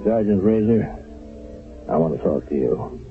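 An actor playing a stern, skeptical wartime army captain in a radio drama, commanding, clipped and sardonic. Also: male voice, low-pitched, expressive, takes it slowly, over loud music. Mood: angry, sardonic. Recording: narrow, phone-like vintage radio broadcast.

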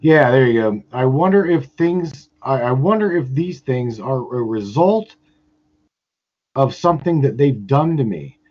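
Male host, stammers and repeats himself, mid pitch, muffled lo-fi audio. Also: lively intonation. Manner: halting, stammering, with many repeated words. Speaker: podcast host. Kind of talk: casual podcast conversation.

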